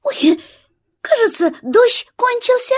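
Little boy bunny, very high voice, slow, polite, naive, childlike, children's audio play. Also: expressive, exaggerated voice. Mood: innocent, chatty. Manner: polite, innocent, childlike questioning. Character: small, polite, naive young male hare.

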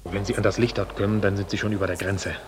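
A man plays a gruff, officious border cop with a lowish voice. He is curt and pedantic, ordering people about in blustering bursts, on an old radio recording with faint noise. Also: level tone, quick pace, close to an everyday voice. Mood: brusque. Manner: curt, blustering, stammers when challenged. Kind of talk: 1940s German radio play acting.

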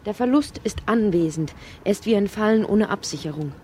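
An actress narrating a strange, inward literary radio drama in deliberate, short emphatic phrases. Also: female voice, medium pitch, lively intonation, mellow, slight background noise, close to an everyday voice. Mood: reflective. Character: woman narrator of a strange inward dreamlike tale.

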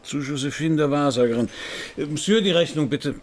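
An actor playing a laconic middle-aged private detective in a radio crime drama, with a dry, understated manner and a gravelly voice. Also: male voice, fairly high pitch, a little fast, natural voice. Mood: dry probing. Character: wry, laconic French private detective, middle-aged man, narrating his case. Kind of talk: 1990s radio crime drama, first-person narration and dialogue.

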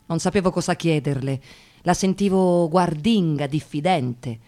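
An actress playing an observant interviewer who narrates encounters in a measured, literary, reflective way in a radio drama. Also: female voice, deep voice, mellow. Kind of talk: scripted first-person narration in radio drama.

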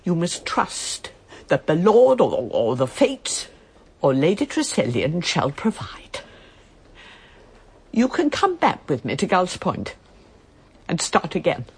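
A woman's voice: lowish voice, huge pitch swings, slightly rough, exaggerated voice. An imperious old lady. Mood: earnest. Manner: imperious, brisk, theatrical. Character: elderly reclusive widowed aristocratic lady, commanding. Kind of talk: radio drama acting.

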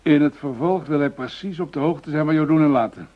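An actor playing a matter-of-fact, cautioning police official in a radio drama, measured and serious. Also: male voice, fairly high pitch, natural voice. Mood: sober, warning. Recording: dull lo-fi radio drama recording.

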